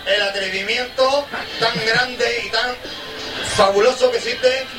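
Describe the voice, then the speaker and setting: Guy with super high voice, theatrical and exclaiming, far mic, crowd and music behind. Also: steady tone, a little slow. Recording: echoey distant event video, music, crowd.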